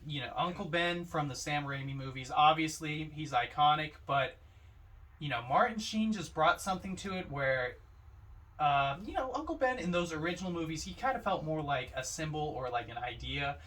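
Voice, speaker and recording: A man with a slightly high voice speaks as a podcast co-host in casual film discussion. He sounds a little distant from the microphone and talks conversationally, often leaning on "you know". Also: animated, normal speed, fairly bright tone. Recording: distant from the mic.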